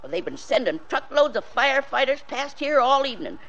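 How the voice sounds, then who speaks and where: A talkative drive-in owner in an old-time radio drama. Her voice is on the high side and very animated. She rattles on in homely, colloquial speech, nosy and neighborly. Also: brisk, lo-fi sound, exaggerated voice. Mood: gossipy, excited. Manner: garrulous, rustic colloquial grammar. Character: chatty, folksy, gossipy drive-in proprietress.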